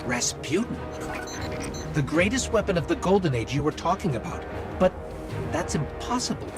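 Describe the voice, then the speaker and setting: A man's voice: a little high, very animated, moderate pace, loud music behind the voice. A man voicing a small robotic companion in a video game, earnest, curious and cautious. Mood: puzzled. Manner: earnest, questioning. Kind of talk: scripted game voice acting.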